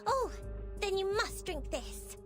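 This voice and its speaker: A dreamy little potion maker, with a very high, lilting voice. She savours her words with eager, sing-song whimsy, as in a fantasy game, with music playing behind her. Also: lively intonation, slow, exaggerated voice. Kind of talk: video game character acting.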